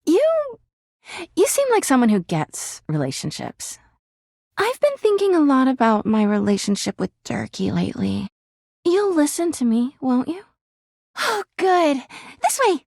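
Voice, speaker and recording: A woman's voice: high voice, wildly swooping pitch, a little slow, gravelly, a little mellow, dry recording, studio quality, exaggerated voice. A woman voicing a clingy, jealous talking laundry hamper in a video game, gushing sweetly and flipping into bitter drama. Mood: wistful, confiding. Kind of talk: video game character voice acting.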